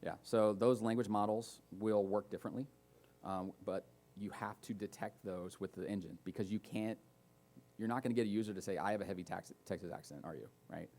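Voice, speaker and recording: Male conference speaker, low voice, relaxed Q&A delivery. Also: a little fast. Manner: relaxed, lots of fillers and asides. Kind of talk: casual technical talk with audience questions. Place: conference room.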